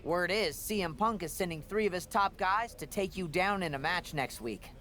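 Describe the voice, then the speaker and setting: A man's voice: high-pitched, normal speed, bright, forceful tone, slight background noise. A man playing a chatty, awkwardly joking wrestling official in a video game, wordy and rambling. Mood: earnest, wary. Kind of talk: video game cutscene dialogue.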